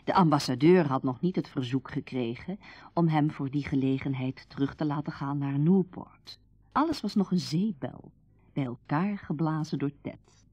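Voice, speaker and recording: A woman's voice: low-pitched, normal speed, lo-fi sound. An actress playing a thoughtful, confiding and resolute woman in a radio drama. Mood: resolved, reflective.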